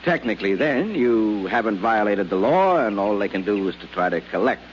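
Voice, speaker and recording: A muffled, slightly noisy 1950s radio drama recording. a wry, dry male lawyer whose pitch swings widely as he argues and drawls. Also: very animated, normal speed. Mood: dry, unhurried. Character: wry, laid-back lawyer turning formal and forceful in court.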